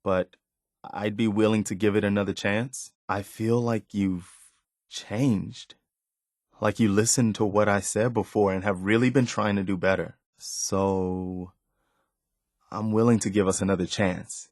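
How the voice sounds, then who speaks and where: An actor playing an earnest, loyal, plain-spoken militia man in a video game, sincere and measured. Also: lowish voice, steady tone, darkish tone, no echo, clean studio sound. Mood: hesitant, vulnerable. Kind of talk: naturalistic video game dialogue.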